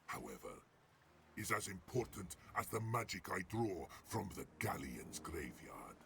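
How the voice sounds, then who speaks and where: An actor playing a haughty undead vampire pirate count, menacing and grandiose, in a pompous theatrical manner over game music. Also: male voice, wildly swooping pitch, measured pace, a little hiss or hum, exaggerated voice. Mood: grandiose menace. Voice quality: deep gravelly voice. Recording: game cutscene with loud music.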